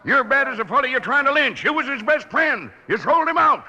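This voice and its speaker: An American actor playing a crusty, folksy old sheriff with a western drawl, blustery and stubborn, in a radio western. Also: male voice, very high-pitched, big pitch swings, a little fast, bright, forceful tone, dull audio, exaggerated voice. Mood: indignant. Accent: American Old West drawl. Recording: hissy old radio recording.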